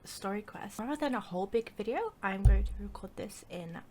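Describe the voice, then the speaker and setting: A woman's voice: mid-range voice, animated, fairly quick, warm tone, slight background noise. A woman hosting a casual game playthrough, reacting aloud in a playful way. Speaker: gaming video host.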